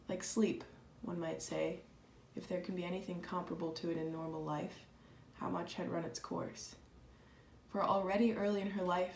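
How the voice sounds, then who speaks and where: Woman acting a solemn, flat-toned introspective writer. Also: fairly low pitch, gravelly. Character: introspective, melancholy woman writing about herself. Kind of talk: dramatized literary reading. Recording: echoey room, faint noise.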